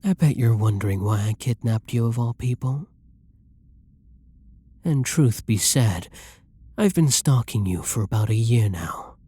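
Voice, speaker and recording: Man playing a slow, husky, taunting masked villain. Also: medium pitch, warm tone. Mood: teasing, smug. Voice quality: breathy, husky, often near a whisper. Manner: taunting, commanding, condescending. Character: flirty, menacing male masked villain holding a hostage. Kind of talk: intimate ASMR roleplay audio drama.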